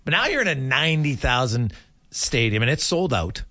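A man's voice: mid-range voice, sing-song, moderate pace, sharp tone. A man hosting a casual sports interview, asking wordy, engaged questions. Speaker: interviewer, radio host.

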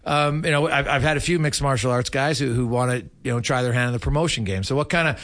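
A man's voice: mid-range voice, fast, a bit bright. A man hosting a casual sports interview, asking rambling, conversational questions. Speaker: sports show host, interviewer.

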